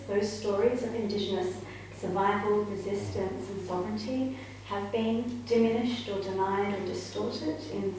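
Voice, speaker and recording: A woman's voice: medium pitch, far from the mic. An Australian woman speaks earnestly and articulately as a panellist. She is heard from across a very echoey room, with faint background noise. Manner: articulate, earnest, with soft fillers. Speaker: panellist, academic researcher. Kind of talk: panel discussion talk. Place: event room with audience.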